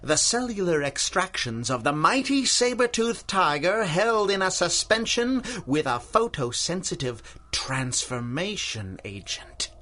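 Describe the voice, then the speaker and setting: A man's voice: high voice, sing-song, measured pace, few pauses, pushed and bright, full character voice. A man playing an obsessed older scientist in a radio drama, formal and patronizing in manner, courteous yet ruthless. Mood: intense, lecturing. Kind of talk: 1930s-style radio drama acting.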